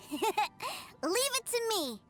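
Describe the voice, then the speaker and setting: A woman voicing an eager, stubborn little boy in an anime-style video game, childish, excitable and pleading. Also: extremely high pitch, very slow, exaggerated voice. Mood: earnest. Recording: game music behind.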